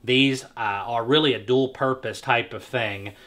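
A man's voice: mid-range voice, measured pace, a bit bright. A man in his thirties hosting a casual product demonstration indoors, explaining as he goes with fillers and restarts. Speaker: host. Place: indoor showroom with bare walls. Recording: echoey room.

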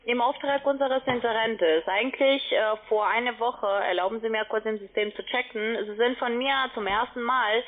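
A woman's voice: a little high, moderate pace, hardly any pauses. Non-native female call-centre agent, wordy and insistent, heard over a narrow phone line. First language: not German. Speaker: call-centre agent selling online trading. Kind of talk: phone sales pitch. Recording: tinny, band-limited phone line.

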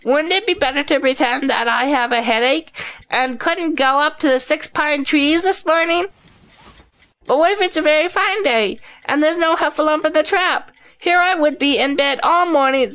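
A very high, bright squeak of a nervous little male pig, as a woman acts him out. He frets and stammers over every worry and tips into a panic at the least scare. He belongs to a storybook audio drama. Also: low-quality recording. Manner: stammering, fretful, easily panicked.

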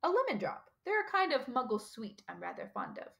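Woman reading as kindly old professor gentleman, calm, gentle, measured, mildly whimsical, storybook dialogue. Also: high voice, gravelly, voice pushed up, exaggerated voice. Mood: gentle, amused. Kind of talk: audiobook character dialogue.

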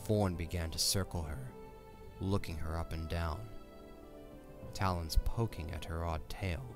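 A man's voice: low voice, steady tone, a little slow. A man narrating a scripted story for an audio drama. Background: music.

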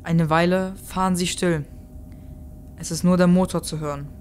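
A woman's voice: fairly low pitch, fairly even intonation, normal speed, darkish tone, slight background noise. A woman reading scripted narration as the narrator of an audio play.

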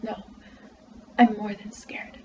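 A woman playing a frightened, withdrawn teenage girl in a quiet, halting dramatic monologue from a novel. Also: recorded from a distance, dull audio, a little hiss or hum, lightly acted. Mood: scared, dejected. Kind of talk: character monologue.

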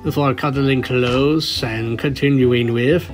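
A man's voice: medium pitch, steady tone, slow. A man reading a scripted story aloud as its narrator. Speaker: narrator of a fan fiction reading. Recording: music bed behind the voice.

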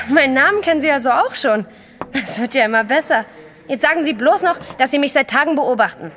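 An actress playing a brisk, wary young waitress in an audio drama, curt and sceptical in manner. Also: female voice, high voice, lively intonation, fairly bright tone. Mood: indignant, sceptical. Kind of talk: German audio drama acting.

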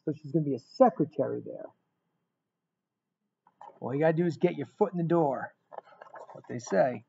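Middle aged guy doing a dramatic storytime read, highish mellow voice, kinda lo-fi sound. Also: normal speed, vocal fry, slight background noise. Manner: emphatic, dramatic storytelling with repeated phrases. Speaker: guest reader of a children's book. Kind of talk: expressive read-aloud of a rhyming picture book. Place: small indoor room. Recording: dull, lo-fi webcam sound.

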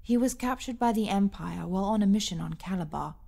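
A woman playing an authoritative rebel commander in an audio drama, composed, formal and measured. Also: medium pitch, vocal fry, mellow. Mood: grave.

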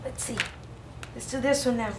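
A woman's voice: fairly high pitch, steady tone, moderate pace. A woman in her thirties teaching a craft lesson to young children, in a sing-song, exclamatory way. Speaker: preschool teacher. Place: indoor preschool classroom. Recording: roomy classroom echo, faint background noise.

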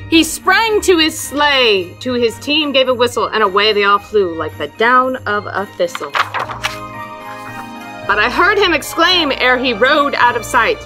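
A middle-aged woman telling stories and talking to young children as a storytime host, with an expressive, deliberate sing-song delivery and dramatic emphasis. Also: a little high. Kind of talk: read-aloud storytelling and talk to young children. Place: small indoor room with fabric backdrop.

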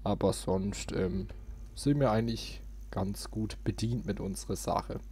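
A man's voice: on the low side, measured pace, a little mellow, slight background noise. A man giving casual gameplay commentary as a let's play host.

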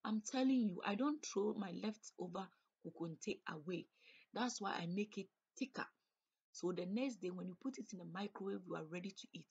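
A woman's voice: mid-range voice, normal speed, darkish tone, slightly rough, lo-fi sound. A woman chatting casually as she cooks in her home kitchen, explaining each step as she goes. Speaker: cooking vlogger. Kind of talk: casual cook-along narration.

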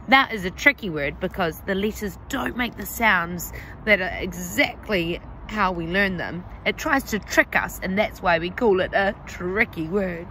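A young woman teacher, reading a picture book aloud to young children in a slow, exaggerated, sing-song way, recorded outdoors on a phone. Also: medium pitch, fairly bright tone, slight background noise, rough recording. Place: outdoors under trees.

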